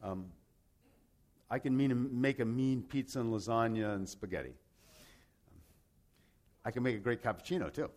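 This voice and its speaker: A man's voice: medium pitch, moderate pace, long pauses, darkish tone. A man from Rome, Italy, preaching a sermon in an emphatic, conversational way, with rhetorical questions and repeated phrases. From grew up in Rome, Italy, to American parents. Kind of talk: sermon with scripture and personal anecdotes.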